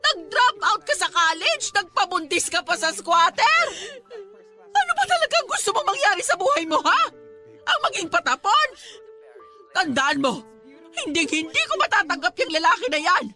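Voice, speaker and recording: A woman's voice: very high voice, sing-song, pushed and bright, soft background music, full character voice. A woman playing an angry, scolding mother in a radio drama, berating and accusing her daughter. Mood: enraged. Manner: accusing, berating, rapid questions. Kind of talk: Filipino radio drama acting.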